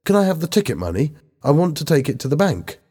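Man acting an irritable, animated teenage boy. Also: a little high, fast talker, husky, pitched above the performer's own voice. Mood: brisk.

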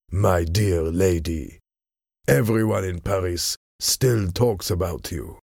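A man acts out a cunning French ambassador in his forties for a storybook. He is cold and smooth-tongued, drawing his words out slowly in a low, creaky voice with quiet menace. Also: no echo, studio quality, heavily acted.

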